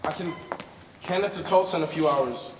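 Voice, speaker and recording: A man acts out an impulsive young drifter in a stage play, desperate, proud and in love. His voice sits a little high and comes from far off in a very echoey hall, with slight noise. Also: fairly quick, natural voice. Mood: pleading, urgent. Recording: echoey hall, distant mic, hissy noise.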